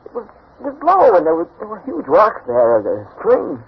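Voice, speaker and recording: An actor playing a lovesick young grandson, pleading and insistent, in halting 1940s radio melodrama. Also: male voice, high voice, wildly swooping pitch, gravelly, slightly rough, exaggerated voice. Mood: bewildered, distressed. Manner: halting, pleading, breathless urgency. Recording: muffled 1940s radio recording.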